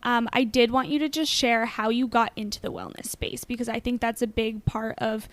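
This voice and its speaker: A woman's voice: fairly high pitch, fairly even intonation, normal speed, dry recording. A woman co-hosting a podcast, enthusiastic and encouraging toward her guest. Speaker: podcast co-host. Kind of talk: casual interview chat.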